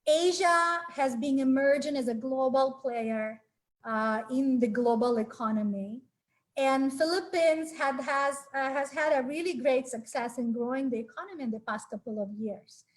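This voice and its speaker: A high-pitched woman from Ukraine in her forties presents a webinar from a small room at home. She talks in a friendly, earnest way, often pausing on fillers and starting her sentences again. The sound comes through a laptop webcam mic on a video call. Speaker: webinar speaker. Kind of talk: presenting a live webinar talk.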